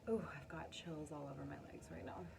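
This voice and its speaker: A woman doing a casual, spontaneous intuitive tarot reading, with dramatic, drawn-out phrasing and pauses. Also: sits low, creaky, very noisy. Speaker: tarot reader.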